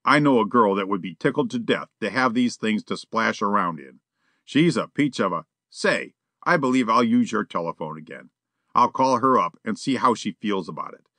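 A man reading as a relaxed, slang-talking burglar in an old-fashioned storybook. He rambles in a casual, cheeky way. His voice is bright, with a dry, close sound. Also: fairly low pitch, moderate pace, no echo. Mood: chatty.

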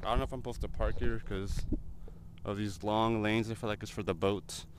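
A man's voice: fairly low pitch, steady tone, brisk. Casual young American man, very noisy recording. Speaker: vlogger. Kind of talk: casual vlog chat to camera. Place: inside a small car and outdoors in open parking lots. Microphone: action camera at arm's length or mounted. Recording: noisy action camera, car and outdoor ambience.

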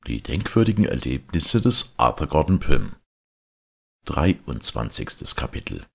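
A man reading a literary audiobook as a narrator, with measured, dramatic storytelling delivery. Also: a bass voice, sing-song, gravelly, bright, forceful tone, husky. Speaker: audiobook reader.

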